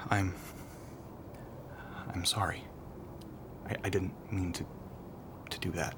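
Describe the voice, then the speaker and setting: Casting: male actor for young military telepath navigator, lowish voice, bitter, volatile, apologetic, stammering; audio roleplay with noisy ambience. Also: fairly even intonation. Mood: shaken, apologetic. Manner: halting, stammering, emotionally charged delivery. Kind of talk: audio roleplay acting addressed to the listener. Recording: clearly noisy background ambience.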